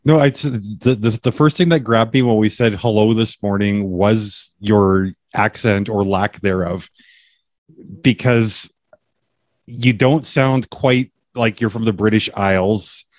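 A man's voice: on the low side, steady tone, takes it slowly, dry recording. A man hosting an interview podcast, asking curious, rambling questions with frequent restarts. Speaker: podcast host. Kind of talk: casual interview chat.

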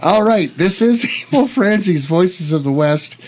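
A man's voice: sits high, expressive, a little slow, low-quality recording. An older man hosting a radio show in a casual, chatty and folksy, easygoing way. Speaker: radio host. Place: radio studio.

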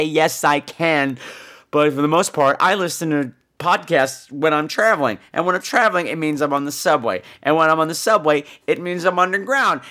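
Campy, animated American man with a cold. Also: high voice. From United States. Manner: campy, bawdy, animated delivery. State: sick with a cold, phlegmy. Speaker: podcast host. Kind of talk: casual, rambling comic monologue.

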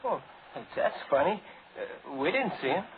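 High, slangy studio helper fellow. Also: male voice, very animated, measured pace. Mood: innocent surprise. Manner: folksy, slangy. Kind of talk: 1940s radio acting. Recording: scratchy, tinny 1940s radio recording.

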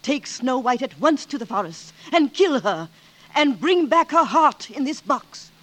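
A woman's voice: high voice, sing-song, unhurried, a bit bright, exaggerated voice. An actress playing a cruel, jealous queen who gives orders in a declamatory 1940s radio style. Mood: cold, commanding. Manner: imperious, declamatory. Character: wicked imperious jealous queen, commanding and cruel. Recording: vintage 1940s radio recording, music behind.

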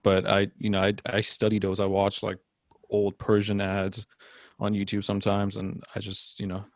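Low, flat-toned young man, creaky voice. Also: moderate pace, no echo, studio quality. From born in Iran. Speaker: podcast host. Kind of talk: casual podcast conversation.

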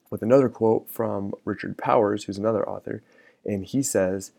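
A man with a deep, gravelly voice muses aloud on his podcast, pausing for fillers and restarting his thoughts. The tone is dark and mellow, and the sound is dry and close. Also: dry recording. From American Midwest. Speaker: podcast host. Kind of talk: reflective casual monologue.

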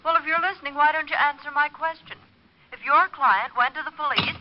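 A woman's voice: sits high, a little fast. An actress playing an affectionate, teasing and concerned girlfriend over the telephone in an old radio drama. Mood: impatient. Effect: telephone filter. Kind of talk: 1950s radio drama acting. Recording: noisy muffled radio recording, telephone effect.

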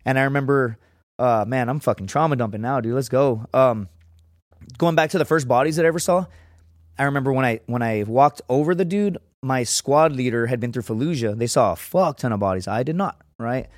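A man spinning profane stories as a podcast guest, his voice sitting at an ordinary middle pitch. He keeps it loose and conversational, and the close, dry studio mic leaves no room sound at all. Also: a little fast, no room sound. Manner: profane, storytelling, acts out quotes in a raised voice. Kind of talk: casual chat.

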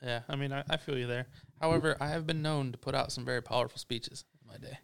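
A man's voice: mid-range voice, steady tone, fast talker, vocal fry, fairly bright tone, clean tone. A man co-hosting a casual podcast chat, joking and boastful, swearing freely. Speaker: podcast co-host. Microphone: headphones on, podcast mic.

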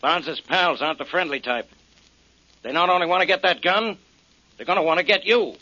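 An actor playing a gruff, exasperated police inspector in a 1940s-style radio drama, blunt and grudging. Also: male voice, on the high side, brisk, bright, forceful tone, slight background noise. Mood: uneasy. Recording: muffled old-time radio recording.

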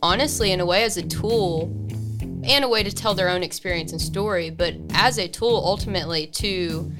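Female, slightly low voice, conversational and emphatic, clear music playing behind her. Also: normal speed. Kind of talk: conversational discussion.

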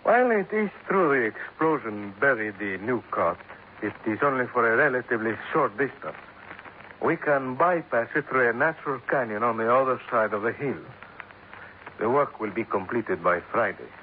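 An actor playing a confident, imperious construction boss with a Spanish accent, speaking formally in a 1950s radio drama. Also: male voice, medium pitch, expressive, moderate pace, phone-quality sound, natural voice. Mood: assured, cold. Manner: formal, assured. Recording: noisy, thin 1950s radio recording.

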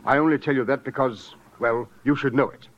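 An actor playing an earnest, self-important rich fiancé, polite and precise, in a 1940s-style radio drama. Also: male voice, mid-range voice, fairly even intonation, a little fast, lightly acted. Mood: formal, insistent. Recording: dull, faintly noisy old radio recording.

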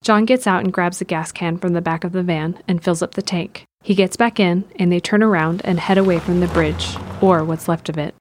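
Low-pitched woman narrating a drama. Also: steady tone, brisk, hardly any pauses, darkish tone, slight background noise. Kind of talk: scripted audio drama narration.

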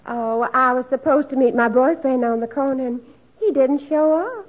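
A woman's voice: high voice, normal speed, natural voice. An actress playing a polite, coy young woman in a 1940s radio comedy, speaking in a demure, well-spoken way. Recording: muffled noisy old radio, studio audience.

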